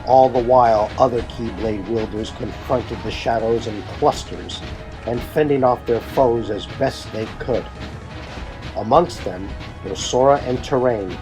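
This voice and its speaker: A man's voice: medium pitch, unhurried. A man delivering scripted, dramatic narration for an audio drama. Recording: music bed behind the voice.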